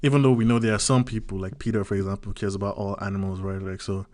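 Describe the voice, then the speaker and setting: Nigerian man, casual vlogger, low-pitched, dry read, rambling chatty delivery. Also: little pitch movement, fast, darkish tone, dry recording. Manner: chatty, loose, peppers talk with "like" and "right?". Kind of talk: casual solo commentary.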